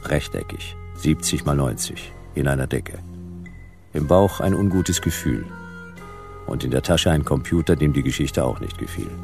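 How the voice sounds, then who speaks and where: A man's voice: very deep voice, expressive, moderate pace, gravelly, music in the background, full character voice. A man playing a world-weary middle-aged private detective, narrating a noir radio play in a laconic, dry, clipped way. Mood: uneasy, dry.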